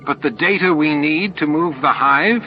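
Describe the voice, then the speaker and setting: A man plays an anxious male alien hive underling in an audiobook drama. His pitch is pushed up as he pleads and protests, gasping and indignant. The sound is a dull tape with music. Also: normal speed, lightly acted. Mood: anxious pleading. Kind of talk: audiobook character acting.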